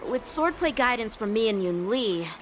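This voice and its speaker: A woman voicing a polite, earnest teenage boy swordsman and teacher in an anime-style game, courteous and measured. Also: fairly high pitch, expressive, faint music behind, lightly acted. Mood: earnest, encouraging.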